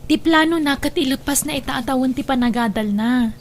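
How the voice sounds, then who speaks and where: A woman's voice: high voice, brisk, a little hiss or hum, close to an everyday voice. A woman playing an earnest young sister who pleads for her sibling in a radio drama. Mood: pleading, reasoning.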